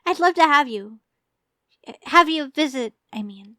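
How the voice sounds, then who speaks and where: Woman acting a high-voiced, teasing, doting anime champion. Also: expressive, measured pace, sharp tone, dry recording, clean studio sound, exaggerated voice. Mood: eager, inviting.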